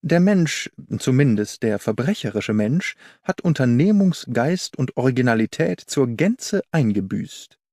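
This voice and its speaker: A man's voice: medium pitch, big pitch swings, unhurried, few pauses, a little mellow, no echo, studio quality. A man voicing a haughty, didactic detective in an audiobook, pompous and precise in his lecturing. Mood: scornful, gloomy. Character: haughty, didactic male detective, proud of cold logic. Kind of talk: German audiobook character reading.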